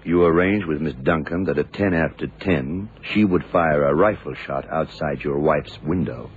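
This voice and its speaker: Very deep hard-boiled radio detective. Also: male voice, normal speed. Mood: stern, accusing. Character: shrewd, hard-boiled blind male detective confronting killers. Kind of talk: 1970s radio drama acting. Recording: muffled, noisy vintage radio recording.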